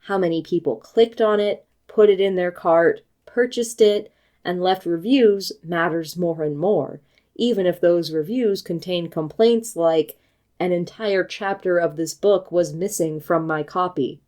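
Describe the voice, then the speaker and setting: An American woman reading a scripted book review video essay in a measured, articulate and critical manner. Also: fairly low pitch, warm tone. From United States.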